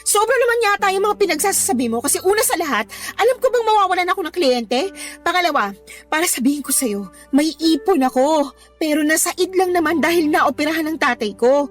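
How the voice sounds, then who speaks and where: A woman's voice: very high-pitched, expressive, brisk, soft background music. An actress playing a hurt, indignant young girlfriend who argues emotionally in a Tagalog radio drama. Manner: emotional, argumentative.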